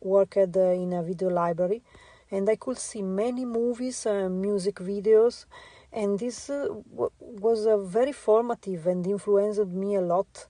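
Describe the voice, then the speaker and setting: A woman with an Italian accent, a visual artist answering interview questions in a halting way with many filler sounds. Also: medium pitch, dark tone, no echo. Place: radio studio.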